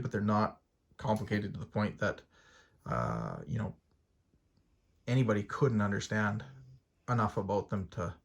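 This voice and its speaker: A man giving a casual, unscripted explainer as an outdoors gear host, rambling and hesitant in an informal way, often checking in with a tag question. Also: lowish voice.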